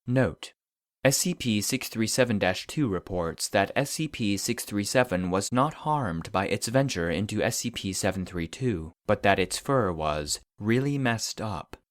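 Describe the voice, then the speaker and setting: A man's voice: on the low side, lively intonation, unhurried, dry recording, studio quality. A man reading scripted fictional case files as a narrator, in a formal, clinical report manner.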